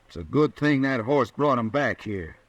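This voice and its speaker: A man's voice: medium pitch, animated, normal speed, dull audio, natural voice. A man with a rural Western American accent playing a glib, ingratiating cowboy ranch hand in a radio drama. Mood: feigned concern. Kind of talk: old-time radio western acting.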